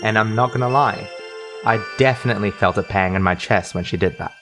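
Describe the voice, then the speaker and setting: A young man telling scripted personal stories as a YouTube storyteller, expressive and self-deprecating. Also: lowish voice, brisk. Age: young adult. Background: background music.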